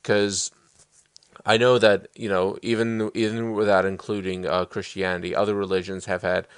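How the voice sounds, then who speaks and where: Low-voiced man explaining with frequent pauses. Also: flat delivery, clear, resonant tone, no echo, clean studio sound. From Uzbekistan. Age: 20s. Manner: explanatory, hesitant with many ums and restarts. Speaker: podcast co-host. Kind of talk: casual conversation.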